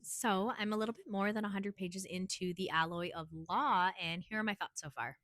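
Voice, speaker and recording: A woman with a hoarse voice from a cold, giving a chatty, rambling book review with lots of filler words. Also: mid-range voice, moderate pace, fairly bright tone, no room sound, soft background music. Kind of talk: casual book review vlog.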